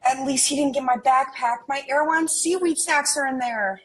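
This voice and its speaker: A woman's voice: high voice, moderate pace, lightly acted, low-quality recording. A woman playing a well-meaning, naive millennial American twin in a comedic script read. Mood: oblivious.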